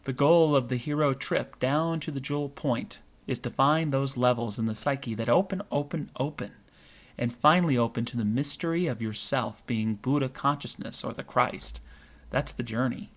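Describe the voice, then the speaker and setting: A man leading a guided visualization meditation, speaking in measured, deliberate phrases with pauses between them. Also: mid-range voice.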